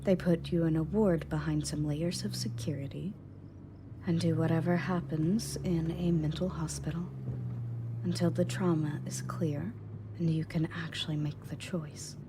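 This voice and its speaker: A woman's voice: sits low, fairly even intonation, normal speed, natural voice. A woman playing a confident, matter-of-fact rescue agent in an audio roleplay, speaking conversationally to the listener. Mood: reassuring, businesslike. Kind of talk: scripted audio roleplay, in-character monologue to listener. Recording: clearly audible background noise and ambience.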